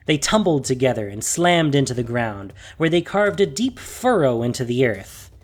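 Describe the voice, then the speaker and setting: Audio drama narrator: husky, lively intonation, measured dramatic delivery, faint music underneath. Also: mid-range voice. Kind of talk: scripted dramatic story narration.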